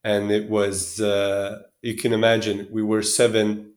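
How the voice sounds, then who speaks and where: A man in his thirties from Sweden speaking reflectively as an interview guest, often pausing and restarting as he searches for words. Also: fairly low pitch, completely flat delivery. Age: 30s.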